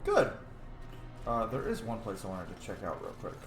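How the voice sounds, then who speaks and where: A man's voice: fairly low pitch, wildly swooping pitch, moderate pace, faint music behind. A man playing a game, casually thinking aloud and reading out his dialogue choices. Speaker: game player. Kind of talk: let's play commentary, reading dialogue choices. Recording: roomy echo.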